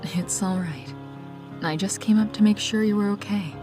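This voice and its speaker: An actress playing a gentle, caring young wife in a comic dub, speaking softly and without hurry. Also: female voice, mid-range voice, natural voice. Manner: gentle, unhurried. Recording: music under the voice. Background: music.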